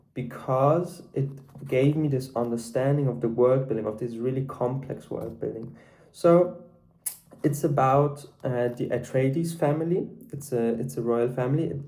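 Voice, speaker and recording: Sixteen-year-old Swiss boy, German first language, soft mellow tone, stumbling and restarting as he reviews books. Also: mid-range voice. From Switzerland. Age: teens, 16. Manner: hesitant, with many restarts and repeated words. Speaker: book vlogger. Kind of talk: casual unscripted book review.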